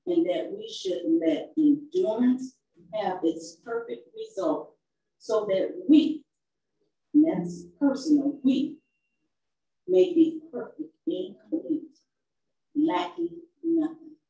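Woman preacher reading slow and emphatic, dark mellow tone, far off in a roomy church. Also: sits high, lively intonation, gravelly, lo-fi sound. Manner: measured, emphatic pulpit delivery. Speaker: minister. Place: church sanctuary. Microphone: far camera.

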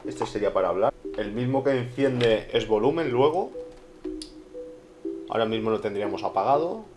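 A man with an accent from Spain narrating a casual unboxing review in an informal way, pausing and repeating himself. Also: mid-range voice, animated. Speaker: video reviewer. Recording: music behind the voice. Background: background music.

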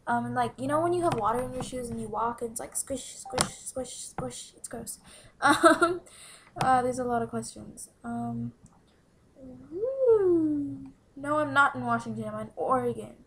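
A woman's voice: on the high side, slight background noise. A teenage girl chatting casually into a webcam in a small room, answering follower questions in a bubbly, giggly way and often dropping to a whisper. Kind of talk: casual Q&A vlog. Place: small room indoors by a window. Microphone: webcam from below at close range.

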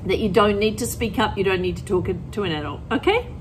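A woman's voice: mid-range voice, lively intonation, fast talker, a little hiss or hum, low-quality recording. A middle-aged woman teacher explaining things to children in plain words and reading aloud expressively from a picture book. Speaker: primary school teacher.

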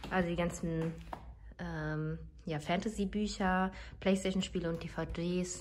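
A woman in her twenties, a bit hoarse from a cold, chatting casually into her phone camera while showing her apartment, with many fillers as she thinks aloud. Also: on the low side, a little hiss or hum. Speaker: vlogger. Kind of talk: casual home vlog. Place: large room in an apartment with high ceilings. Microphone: phone camera, selfie or mirror filming.

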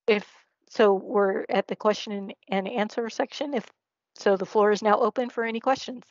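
Female online presenter, mid-pitched, explanatory, close dry sound on a slightly dull meeting line. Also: fairly even intonation, normal speed, dry recording. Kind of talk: slide presentation and Q&A answers. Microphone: video call.

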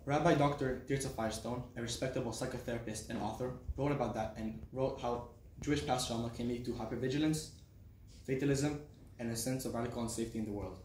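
A man's voice: medium pitch, steady tone, fast talker, darkish tone. A young man from Israel, a student giving a prepared personal speech in a small classroom. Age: teenager to young adult. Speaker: student speaker. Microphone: camera on a desk, several metres off. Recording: roomy, distant mic, faint noise.

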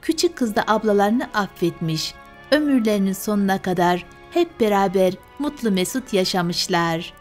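A woman's voice: medium pitch, animated, normal speed. Woman, bedtime tale narrator, scripted storytelling, music behind. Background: music and fire sounds.

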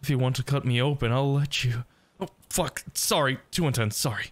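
A man voicing a desperate, lovesick, self-loathing young yandere in an intimate ASMR roleplay, halting and stammering. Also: mid-range voice, dry recording, natural voice. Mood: panicked, pleading. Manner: halting, stammering, pleading, breathy sighs.

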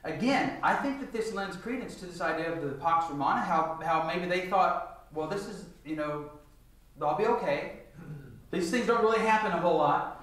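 A middle-aged man with a fairly high voice delivers a Bible lecture in a very echoey church meeting room. He sounds distant from the microphone and likes to put questions to his listeners and answer them himself. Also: normal speed, a bit bright. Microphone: picked up from a distance.

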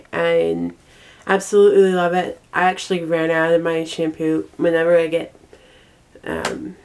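A young woman talks casually through a cold, as if sharing favorites in a vlog. Her voice sits slightly low for a woman. She goes slowly and haltingly, stopping and starting as she speaks. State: congested with a cold. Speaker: vlogger. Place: small bedroom, indoors.

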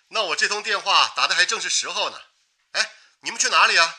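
A man's voice: sits high, animated, moderate pace, pushed and bright, exaggerated voice. An actor playing a brave, cheerful man of a wartime floating radio station, in radio drama phone dialogue.

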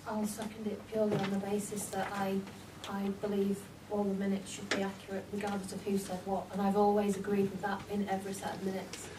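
A woman's voice: mid-range voice, monotone, fast talker, few pauses. A woman councillor speaking at a meeting, earnest and matter-of-fact. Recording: echoey hall, far mic, clear hiss.

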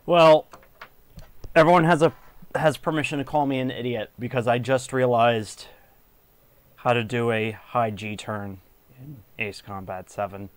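A man's voice: mid-range voice, fairly even intonation, measured pace, long pauses, no room sound. A man giving casual, light commentary as he plays a video game, muttering offhand reactions and mild exclamations. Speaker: gamer commenting on his playthrough. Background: video game music.

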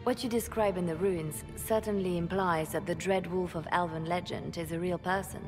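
An actress voicing a poised, calm woman spymaster and former bard in a video game, speaking in a measured, softly formal way. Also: on the low side, music in the background, lightly acted.

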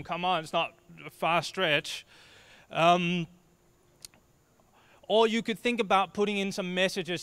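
A man in his thirties from Denmark with a Danish accent, giving a short, joking talk on a dark stage into a handheld mic and waving his arms as he speaks. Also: on the high side, smooth. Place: dark stage before an audience.

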